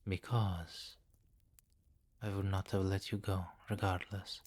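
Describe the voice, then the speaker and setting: A man's voice: deep voice, darkish tone, breathy edge, no echo, heavily acted. A man playing a commanding, possessive vampire king in an intimate, teasing ASMR roleplay. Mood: grave, controlling. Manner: slow, teasing, condescending, with murmured endearments.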